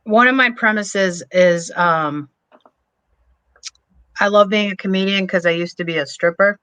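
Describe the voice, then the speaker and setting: A woman's voice: mid-range voice, fairly bright tone, clear, resonant tone, dry recording. A woman who is a comedian and podcast co-host, chatting casually and starting with hesitant "um"s. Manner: hesitant, fills pauses with "um". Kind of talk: casual chat.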